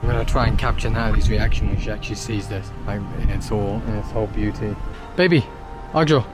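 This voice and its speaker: A man's voice: on the low side, fast talker. A man in his thirties chatting casually and admiringly as a travel vlogger, recorded outdoors on a handheld camera. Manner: admiring, repetitive exclamations. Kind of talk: casual travel vlog chat. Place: outdoors at a mountain mosque. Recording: loud music under the voice. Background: loud music.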